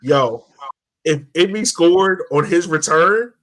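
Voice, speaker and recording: Guy hosting a sports podcast, higher voice, hyped and slangy, just chatting. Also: slow, poor audio. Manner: excitable, slangy. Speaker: podcast host. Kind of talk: casual sports podcast chat.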